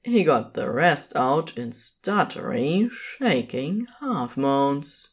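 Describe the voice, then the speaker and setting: A woman's voice: sits low, sing-song, slow, slightly rough. A woman reading scripted fiction as the narrator of an audio story, in a dramatic storytelling style.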